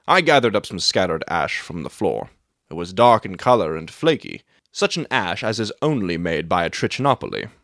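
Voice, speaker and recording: A man performs a brilliant male detective for a dramatic audiobook. The voice sits low and swings widely in pitch as he lectures with precise, proud self-assurance, recorded dry and close. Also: sing-song, moderate pace, fairly bright tone, dry recording, exaggerated voice. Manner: lecturing, matter-of-fact, self-satisfied.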